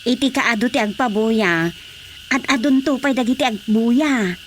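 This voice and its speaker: A woman acting a practical, fussing member of a village family, animated, in a Filipino radio drama comedy. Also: fairly high pitch, a little slow, natural voice. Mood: practical, concerned. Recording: steady faint background noise.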